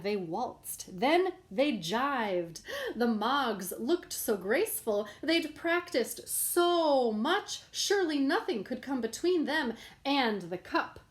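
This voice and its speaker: Lively high-voiced woman reading a storybook. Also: slow pace. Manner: playful, sing-song storytelling. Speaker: children's book reader. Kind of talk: reading a picture book aloud.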